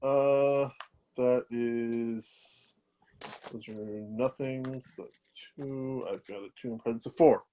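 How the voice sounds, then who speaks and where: A man's voice: medium pitch, steady tone, slow, warm tone. A man playing a tabletop game over a video call, joking and chatting casually. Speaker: player.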